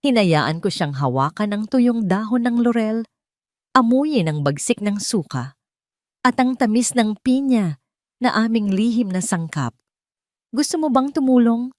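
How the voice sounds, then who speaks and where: A woman's voice: mid-range voice, lively intonation, a little slow, no echo, clean studio sound. A woman reading a first-person dramatic story in a solemn, sentimental storytelling manner. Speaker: audio story narrator.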